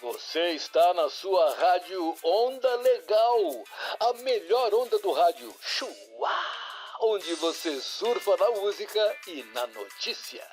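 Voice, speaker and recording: A man's voice: high-pitched, exaggerated voice. A man playing a formal, polished nineties radio news announcer with a Brazilian accent, reading a scripted bulletin in an audio drama. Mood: upbeat. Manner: formal, deliberate. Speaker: radio newsreader.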